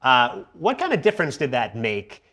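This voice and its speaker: A man's voice: mid-range voice, huge pitch swings, moderate pace, bright, forceful tone. A man anchoring a TV news program, introducing a guest and asking probing questions in a conversational way. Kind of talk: TV news interview.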